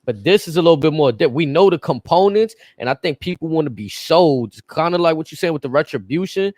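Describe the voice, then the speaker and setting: A man's voice: on the high side, animated, fast talker, darkish tone, no room sound, clean studio sound. A man in casual podcast chat, blunt and profane, arguing his points. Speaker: podcast co-host.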